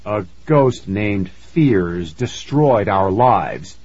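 A man's voice: on the low side, expressive, very slow, darkish tone, breathy edge, muffled recording, full character voice. A man voicing an earnest, protective scientist father in a storybook, speaking emphatically. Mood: earnest relief.

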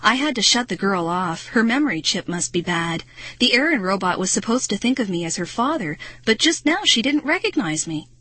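A weary grown man, an inventor in a children's audiobook, voiced by a woman. He sounds husky and resigned, muttering matter-of-factly, recorded close and dry. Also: medium pitch, very animated, fairly quick, bright, forceful tone, no echo, exaggerated voice. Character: weary adult male inventor, matter-of-fact and cold.

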